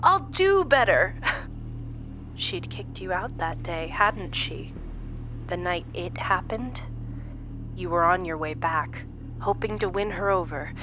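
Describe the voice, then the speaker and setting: A smug, cruel supernatural woman, played by an actress, taunts theatrically in a horror audio drama. She takes her time, letting the pitch rise and dip freely in mocking, playful phrases. There is clear noise behind her voice. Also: animated, slow, long pauses. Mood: taunting. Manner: mocking, theatrical, breaking into chuckles and sighs.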